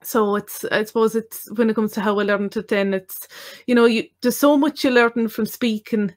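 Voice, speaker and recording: An Irish woman in casual chat who speaks haltingly, with many restarts. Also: a little high, steady tone.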